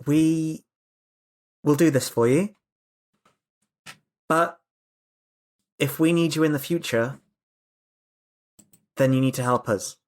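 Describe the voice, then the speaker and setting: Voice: mid-range voice, takes it slowly, long pauses, a little mellow. A tabletop roleplaying player improvising casual in-character chat, plain-spoken and insistent.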